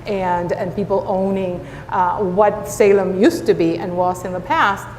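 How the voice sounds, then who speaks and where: A woman's voice: medium pitch, measured pace, a little mellow. A woman with a Spanish accent, a native Spanish speaker, speaking earnestly on a panel with frequent restarts. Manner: earnest, many filler restarts. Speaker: school superintendent. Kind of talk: panel discussion. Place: conference hall. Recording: echoey hall with faint noise.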